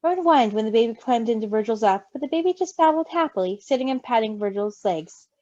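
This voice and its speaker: A woman reading a story aloud expressively, with an animated, giggly manner. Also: fairly high pitch, fast talker, dry recording. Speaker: story reader. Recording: slightly dull, close dry mic.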